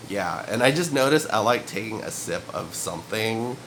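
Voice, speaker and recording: Young male vlogger, low-pitched, stuffy with a cold, loose filler-heavy ramble, faint room hum behind. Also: expressive, moderate pace, a bit bright, low-quality recording. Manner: loose and meandering, filler-heavy, frequent throat clearing and chuckles. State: sniffly with a cold and cough. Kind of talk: rambling casual monologue to camera. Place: room at home. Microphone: camera mic.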